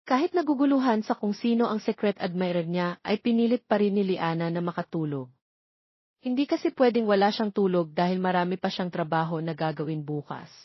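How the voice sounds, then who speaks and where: A woman's voice: on the low side, fairly quick, dry recording, lo-fi sound. A woman with a Filipino accent reading a scripted romance story as its narrator. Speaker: narrator of audio stories.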